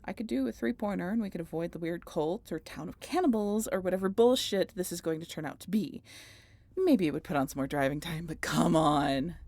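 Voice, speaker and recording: A woman's voice: fairly low pitch, a little fast, darkish tone, dry recording. A woman playing a snarky, teasing female vampire in an audio roleplay, talking to the listener in a wry, conversational way. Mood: dreading, pleading. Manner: sardonic, wry, playful, conversational. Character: snarky, swearing female vampire road-tripper, teasing and dramatic.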